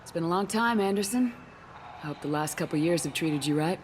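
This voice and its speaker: An actress playing a composed, assertive human military commander in a video game, speaking firmly and directly. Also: female voice, fairly low pitch, expressive, fairly quick, a little mellow, slight background noise, natural voice. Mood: warm. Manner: firm, direct.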